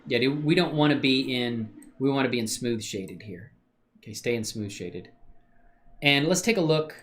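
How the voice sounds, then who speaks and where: Casual streamer guy, mutters through his work, pitch bounces a lot. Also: moderate pace. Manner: muttering and thinking aloud while working, answering chat; relaxed slangy talk with many fillers. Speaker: livestreamer doing 3D modeling. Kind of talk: casual think-aloud livestream.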